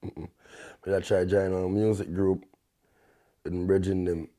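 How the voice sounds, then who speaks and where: A young man with a Jamaican accent and a deep bass voice, talking in relaxed patois as a guest artist, often tagging his sentences with a check-in. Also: unhurried. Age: young adult. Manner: laid-back, peppers talk with "you see me?".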